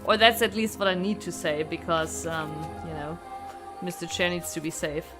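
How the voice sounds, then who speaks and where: A woman chatting casually as a game streamer, in a rambling, animated way with casual swearing. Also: fairly low pitch, moderate pace. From Germany. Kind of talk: casual live gameplay chat. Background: music.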